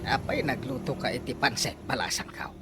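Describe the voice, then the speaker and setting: A man's voice: mid-range voice, fairly quick, heavy background noise, lightly acted. An actor playing a hearty, teasing older father in an Ilocano radio drama. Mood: genial. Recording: loud music behind the voice.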